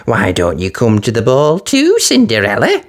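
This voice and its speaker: A woman's voice: extremely low pitch, wildly swooping pitch, moderate pace, full character voice. A woman voicing a spiteful, mocking younger stepsister in a storybook reading. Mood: sneering. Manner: sneering, scolding. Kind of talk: storybook read-aloud.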